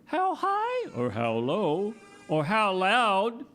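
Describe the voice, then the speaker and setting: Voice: medium pitch, huge pitch swings, very slow, a bit bright. A presenter giving a scripted live talk for children as part of a sound effects crew. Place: live library event before an audience.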